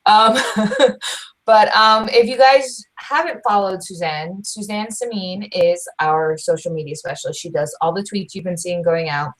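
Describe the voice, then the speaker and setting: A young woman co-hosting a podcast, talking loud and fast in casual chat, full of restarts. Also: mid-range voice, steady tone, poor audio. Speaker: podcast co-host.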